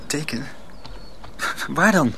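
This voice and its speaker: A scared naive kid apprentice in a radio drama, highish voice, some noise behind. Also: male voice, expressive, slow pace, vocal fry, heavily acted. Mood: frightened, confused. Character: timid, naive young apprentice boy, full of questions.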